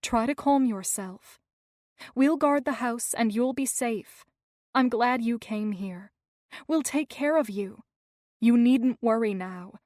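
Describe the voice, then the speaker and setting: A kind, steady young woman, as a female narrator reads her, soft and reassuring in tone. Her voice is fairly high and close, with no room around it. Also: slow, dry recording. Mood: soothing. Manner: gentle, reassuring. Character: young woman, compassionate and resolute caretaker.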